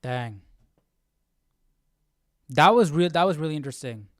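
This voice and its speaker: A man's voice: fairly high pitch, lively intonation, a little slow, a bit bright, no echo, clean studio sound. A man hosting a live study stream, talking casually and thinking aloud in a hesitant, musing way as he searches for words.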